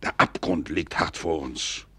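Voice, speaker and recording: Cautious aristocrat, very deep husky voice, solemn pleading delivery, 1940s radio play, dry studio. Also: male voice, fairly bright tone, no room sound, heavily acted. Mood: urgent warning. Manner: solemn, measured, pleading, declamatory. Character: grave, cautious older nobleman urging his friend to flee. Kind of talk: 1940s German radio drama acting.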